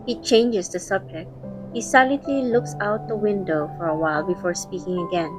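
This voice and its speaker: A woman's voice: medium pitch, normal speed, music in the background. A woman reading scripted first-person narration for a romantic audio drama, also voicing its heroine.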